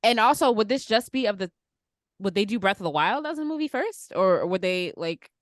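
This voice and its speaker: A woman's voice: mid-range voice, lively intonation, a little fast, dry recording, clean studio sound. A woman hosting a podcast, chatting casually about pop culture. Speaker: podcast host.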